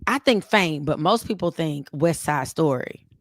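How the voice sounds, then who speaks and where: A woman co-hosting a casual morning talk show, chatting in a folksy, animated way. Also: deep voice, unhurried, no room sound, clean studio sound. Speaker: co-host.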